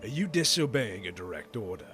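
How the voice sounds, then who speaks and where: A man's voice: on the low side, very animated, brisk, a bit bright, faint music behind. An actor playing a menacing, controlling male crime boss villain in an audio drama, with a cold, commanding manner. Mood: coldly coaxing.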